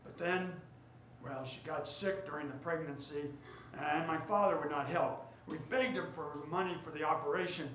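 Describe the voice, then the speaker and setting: A man's voice: on the high side, moderate pace, a bit bright, natural voice. A man acting wealthy heir, echoing stage. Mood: wistful, confiding. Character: wealthy department store heir, sentimental, self-important. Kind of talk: 1940s radio drama stage acting. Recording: roomy theater stage, faint noise.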